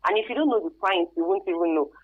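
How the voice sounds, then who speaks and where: A woman's voice: fairly low pitch, fast talker, husky. A woman with a Nigerian accent giving emphatic, conversational interview answers as a guest expert, over narrow phone-like radio sound. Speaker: guest expert, career advisor.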